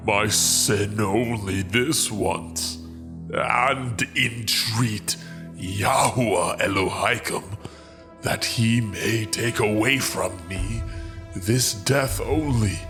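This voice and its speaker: Male actor as haughty ancient king, low-pitched, drawn-out weighty delivery, scripture drama, music behind. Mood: pleading.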